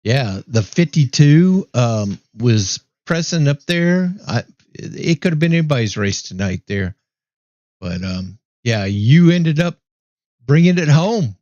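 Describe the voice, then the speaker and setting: A man hosting a race broadcast in a casual chat, easygoing and upbeat, his voice going hoarse. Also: mid-range voice, very animated, slow pace, darkish tone, no echo. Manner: easygoing, upbeat, rambling with fillers. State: losing his voice, clearing throat. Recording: dry, dull lo-fi mic.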